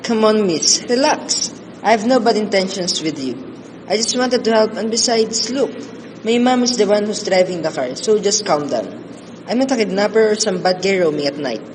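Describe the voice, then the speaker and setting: A man acting a friendly, calming teenage boy in a radio drama. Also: high-pitched, moderate pace. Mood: calm reassurance. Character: friendly, reassuring teenage boy offering help. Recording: noisy recording with hall reverb.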